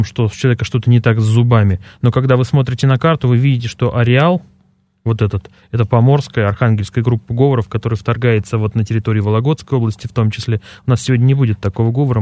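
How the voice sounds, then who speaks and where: A man appearing as a dialectologist guest in a radio studio, explaining his points in a measured way. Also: medium pitch, steady tone, dark tone.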